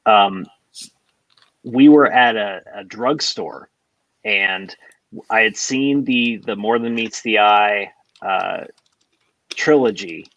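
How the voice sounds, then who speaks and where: Guy in his 40s, lowish voice, slow halting casual podcast chat, dry dull lo-fi mic. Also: fairly bright tone, no echo. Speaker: podcast co-host.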